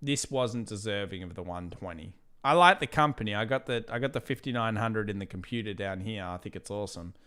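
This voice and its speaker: A man with an Australian accent and a lowish voice hosts a casual live trading stream. He rambles loosely between points, and his voice sounds worn from talking for hours. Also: brisk, few pauses, bright, forceful tone, clean tone. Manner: casual, rambling, reads viewer questions aloud. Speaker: trading channel host. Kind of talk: live stream market analysis.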